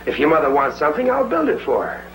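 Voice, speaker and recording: A blustery, stammering sitcom dad. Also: male voice, on the high side, quick pace, roomy sound. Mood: bossy. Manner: excitable, stammering, flustered. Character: blustery middle-aged working-class dad, stubborn. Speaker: sitcom father. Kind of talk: 1950s TV sitcom acting. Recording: hissy old TV soundtrack.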